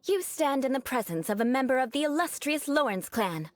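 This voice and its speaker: A haughty young aristocrat for a video game. The speech is formal and precise, rather high in pitch with expressive movement. Music plays softly behind the proud, theatrical delivery. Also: female voice, brisk. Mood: imperious.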